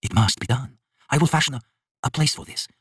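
A man performs an anguished elder god in a fantasy audiobook. The voice is husky, raised in pitch, grave and intense, as if passing judgement. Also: dry recording, studio quality. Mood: grim resolve. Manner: slow, portentous, intense.

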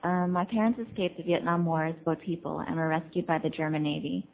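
A young woman testifies at a hearing, hesitant and pausing with ums. Her voice sits a little low. The recording is thin and phone-like with a faint hum. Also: steady tone, vocal fry. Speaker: student witness.

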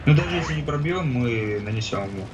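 A man's voice: medium pitch, expressive, fast talker, dull audio, noisy background. A man chatting casually as a gaming teammate, in short slangy remarks. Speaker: teammate and co-commentator. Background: game music and tank engine sounds.

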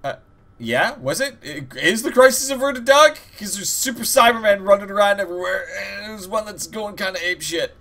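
A man hosts a let's-play in a rambling, joking, sweary way. His voice sits a little high and comes across bright and forceful. The delivery is loose, casual commentary. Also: expressive, fairly quick. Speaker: host of a gaming channel.

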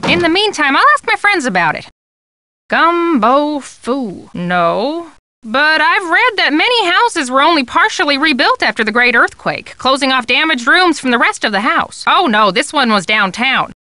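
Chatty american history expert for a game, high bright voice, wry. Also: female voice, very animated, moderate pace, no echo, heavily acted. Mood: chatty, helpful. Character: chatty, wry woman who knows local history. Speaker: game voice actor. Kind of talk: conversational video game dialogue.

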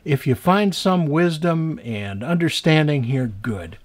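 A man in his sixties, an author and teacher, giving a scripted talk to camera in deliberate, emphatic phrases with pauses. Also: on the high side, animated. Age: 60s.

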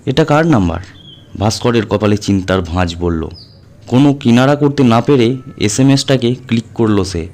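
A man's voice: mid-range voice, animated, normal speed, a little mellow, a little hiss or hum. A man reading a horror audio story as a narrator, in a dramatic scripted style. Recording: music under the voice. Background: background music.

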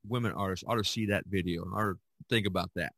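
A man's voice: low voice, fairly quick, fairly bright tone, breathy edge, no echo. An older man hosting a casual podcast chat, rambling and often repeating his phrases. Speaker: podcast host.

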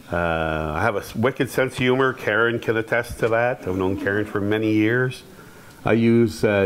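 A man in his fifties from Quebec whose first language is Algonquin, telling his life story on a panel in a relaxed way, with many pauses, into a lapel mic. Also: fairly low pitch, a little hiss or hum. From Quebec, Canada. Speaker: panel speaker. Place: hall with curtained stage, audience present.

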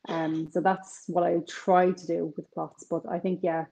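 A woman with a North Side Dublin accent speaks with a low, mellow voice over a video call. She talks chattily and animatedly, full of quick asides, from her kitchen at home. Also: level tone, gravelly, low-quality recording. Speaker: crime author guest.

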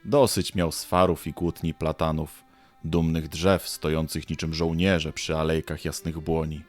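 Low-voiced male storyteller over soft music. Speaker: narrator. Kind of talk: scripted storytelling narration for an audio drama. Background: faint music.

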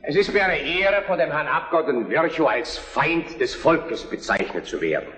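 An actor playing an imperious, defiant Prussian prime minister in a German historical radio play, speaking with biting, commanding oratory. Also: male voice, fairly high pitch, expressive, moderate pace, fairly bright tone. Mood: scornful, defiant. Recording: echoey parliament hall, long reverb.

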